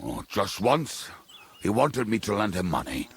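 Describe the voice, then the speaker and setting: A man playing a roguish, foul-mouthed medieval mercenary in a video game, with a blunt, wry way of telling things and a gravelly, husky voice. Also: lowish voice, very animated, a little slow, fairly bright tone, heavily acted. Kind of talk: dramatic video game dialogue.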